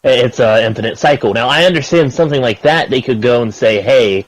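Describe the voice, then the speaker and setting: A man's voice: medium pitch, a little fast, dry recording. A man chatting casually in a repetitive, sceptical way over a lo-fi voice call. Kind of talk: casual chat. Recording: dull lo-fi call audio.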